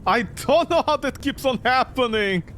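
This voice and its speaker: Man, let's play commentary, sarcastic, incredulous, very expressive intonation, faint background noise. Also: very high-pitched, moderate pace. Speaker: gaming streamer.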